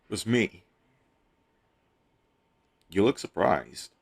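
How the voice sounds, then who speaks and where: A secretly heroic hostage acted by a man in an intimate audio roleplay. His voice sits very low, with heavy creak and a husky grain. He teases wryly and flirts coyly, leaving small hesitant gaps. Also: animated, slow pace, heavily acted. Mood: smug, playful. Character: captured superhero posing as a hostage, teasing, flirtatious, coy.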